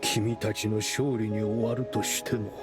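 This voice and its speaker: A man voicing a solemn, proud and resigned older mentor with measured, formal speech in a dramatic anime game dub. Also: on the low side, level tone, over loud music, natural voice. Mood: solemn resignation.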